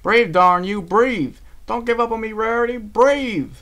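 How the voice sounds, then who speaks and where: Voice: mid-range voice, unhurried, a bit bright, pitched above the performer's own voice, lightly acted. A storyteller voicing a feisty, loyal female country pony with folksy phrasing, emotional and exclaiming. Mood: desperate.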